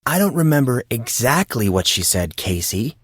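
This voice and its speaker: A man playing a guarded, awkward and sarcastic teenage boy in an audio drama, answering evasively and a little haltingly. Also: mid-range voice, very animated, a bit bright, no room sound, studio quality. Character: guarded, sarcastic fourteen-year-old boy, awkward and exasperated. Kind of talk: audio drama character dialogue.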